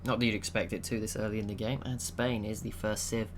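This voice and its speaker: A young man giving relaxed, chatty commentary over a strategy game he is watching, laughing to himself now and then. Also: fairly low pitch, fast, a little hiss or hum. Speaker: gaming channel host.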